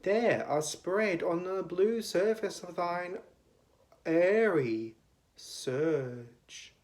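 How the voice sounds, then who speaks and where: A man giving a dramatic recitation of poetry in a declamatory manner, with long pauses between phrases. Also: high-pitched, darkish tone. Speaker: poetry reader.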